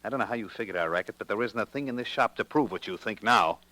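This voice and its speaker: A smug blackmailer from a vintage radio crime play, American, with a lowish, hard-edged voice. He talks in taunting, hard-boiled slang. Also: fast talker, sharp tone, dry recording. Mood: threatening. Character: smug male racketeering print-shop owner, a blackmailer. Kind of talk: mid-century American radio detective drama acting.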